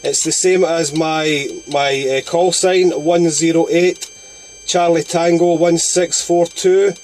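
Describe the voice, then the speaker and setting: A Scottish man chatting courteously and haltingly as an amateur radio operator making a long-distance contact. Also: a little high, steady tone, darkish tone. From Scotland.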